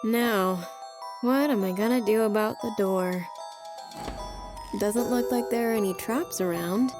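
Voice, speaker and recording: A woman's voice: mid-range voice, a little slow, music in the background, close to an everyday voice. A woman voicing a bold, nosy young servant girl in a video game, speaking informally. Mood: teasing, curious.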